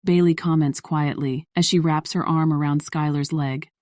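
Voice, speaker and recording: A woman's voice: sits low, steady tone, moderate pace, a little mellow, no echo, studio quality. A woman narrator reading scripted story narration for an audio drama.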